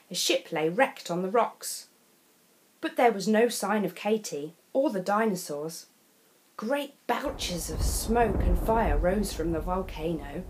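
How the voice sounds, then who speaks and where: A woman reading a children's storybook in an expressive, deliberate way with dramatic phrasing. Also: medium pitch, poor audio. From England.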